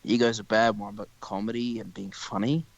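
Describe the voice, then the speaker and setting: A slightly muffled, lo-fi recording of a young man on a podcast. His voice sits fairly low. He rambles along in casual conversation and swears a lot. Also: flat delivery, moderate pace, no echo. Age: young adult. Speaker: podcast host. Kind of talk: casual chat.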